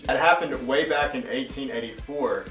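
A man's voice: medium pitch, normal speed, a bit bright, soft background music. A man in his thirties co-hosting a casual, bantering show, recorded in a small room. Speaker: co-host of a comedy trivia show. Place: small furnished room indoors. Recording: roomy echo, slightly distant mic.

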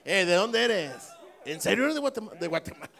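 A middle-aged man with a Mexican Spanish accent works the crowd from a small club stage, speaking into a handheld mic. His voice sits high, and his tone is brash and teasing, full of swearing and sudden loud shouts. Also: big pitch swings, brisk, vocal fry. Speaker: stand-up comedian working the crowd. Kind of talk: crowd work stand-up. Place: small comedy club stage with live audience.

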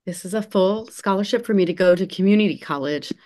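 A woman's voice: mid-range voice, fast, a little mellow, natural voice. A woman voicing an eager, grateful young woman, reading over a video call. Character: young hopeful woman Lizzy.

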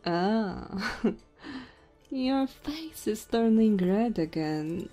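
A woman's voice: fairly high pitch, expressive, warm tone, soft background music, exaggerated voice. A woman voicing a tipsy, flirty female goblin innkeeper in an ASMR audio roleplay, teasing and intimate. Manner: teasing, intimate, drawn-out drunken musing.

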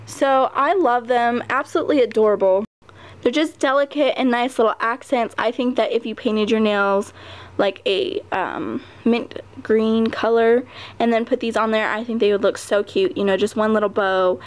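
A woman's voice: fairly high pitch, steady tone, normal speed. A woman chatting casually through a nail polish review, full of ums and little laughs. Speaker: nail art vlogger. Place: home table, hands on camera.

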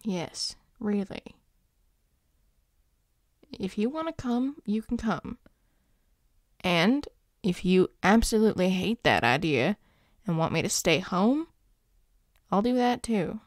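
A woman playing a grumpy but devoted male werewolf boyfriend, speaking intimately to the listener in a gruff, tender, halting way. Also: medium pitch, animated, no echo, studio quality. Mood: tender, reassuring. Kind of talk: intimate audio roleplay, spoken to the listener.

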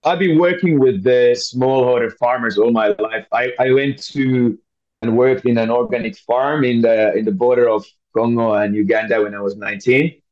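Male interview guest, Finnish accent, medium pitch, conversational, slightly muffled lo-fi sound. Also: normal speed. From Finland. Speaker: podcast guest, scientist.

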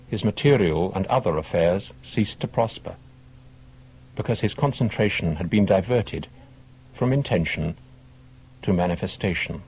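A man with a husky voice reading short teaching tales as scripted audiobook narration. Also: deep voice, darkish tone, rough recording.

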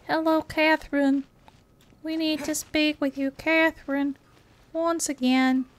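A woman's voice: sits high, level tone, slow. A woman playing a video game and reading its dialogue aloud as a let's play host. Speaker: gamer playing on a video series.

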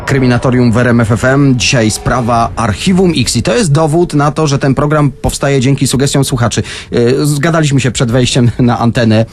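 A man's voice: medium pitch, fairly quick, slight background noise. A man hosting a conversational true-crime show on the radio, speaking speech from a studio. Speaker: radio host.

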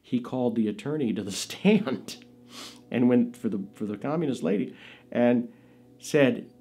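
A middle-aged man telling family anecdotes in a seated studio interview, animated and laughing, often jumping into high quoted voices. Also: measured pace, husky. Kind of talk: anecdotal storytelling. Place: indoors, studio with dark curtain backdrop.

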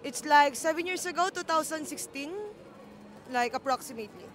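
A woman gives short, simple answers in a high voice. She speaks into a handheld mic on a busy night street. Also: a little fast, fairly bright tone, a little hiss or hum. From Philippines. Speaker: interviewee.

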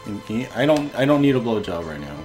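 Young male let's-play host, lively up-and-down voice, excitable, cursing at the game. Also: medium pitch, fairly quick, over music. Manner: excitable, swearing, frustrated outbursts. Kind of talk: casual gameplay commentary.

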